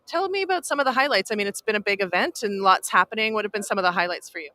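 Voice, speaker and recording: A woman presents a live industry interview. Her voice is bright and smooth, at a middle pitch. She talks in a chatty broadcast style, introducing and questioning a guest. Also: lively intonation, racing, dry recording. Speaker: live-stream interview host.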